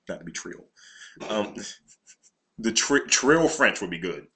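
A man co-hosting a podcast, chatting crudely and loudly, often laughing at his own jokes. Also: fairly low pitch, animated, slow. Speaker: podcast co-host. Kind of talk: crude casual chat.

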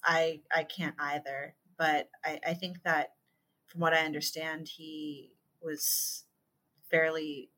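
A woman in her thirties from California, speaking as a guest on an interview podcast. Her voice sits very low but carries a bright, forceful edge. She talks in a halting, thoughtful way, full of fillers and restarts. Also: flat delivery, rough recording. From California, USA. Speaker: author guest.

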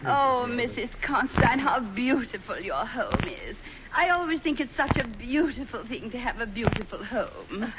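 A woman's voice: on the high side, lively intonation, moderate pace, lightly acted. A woman playing a vain, condescending stage actress in 1940s radio comedy style, gushing and affected. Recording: muffled, noisy old 1940s radio broadcast.

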